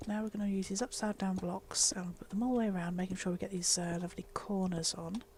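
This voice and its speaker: A woman talking through a game build in a soft, half-whispered voice, wheezy from a chest infection. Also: mid-range voice, steady tone, fast talker, slight background noise. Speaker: Minecraft building tutor. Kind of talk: casual game tutorial voice-over.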